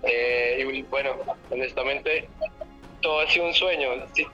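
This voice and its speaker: A Colombian painter answering interview questions in an earnest, sincere way, his pace quickening when he gets excited. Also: mid-range voice, lo-fi sound, faint music behind.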